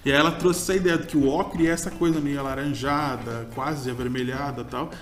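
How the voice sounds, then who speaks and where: A man's voice: mid-range voice, fairly even intonation, a little fast, a bit bright. A man, co-hosting a casual food chat and often groping for names. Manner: chatty, gropes for names, laughs easily. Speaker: podcast co-host, food reviewer.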